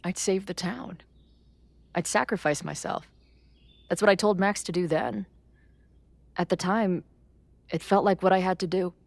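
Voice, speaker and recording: Weary, wry low-voiced young woman. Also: unhurried, gravelly, long pauses, no room sound. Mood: troubled, resigned. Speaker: video game voice actor. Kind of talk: naturalistic dramatic game dialogue.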